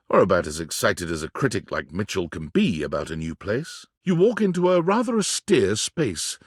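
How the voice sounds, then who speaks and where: An actor playing a suave storyteller, reading polished second-person narration for a video game. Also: male voice, medium pitch, dry recording, clean studio sound. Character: suave male second-person narrator savoring lush descriptions.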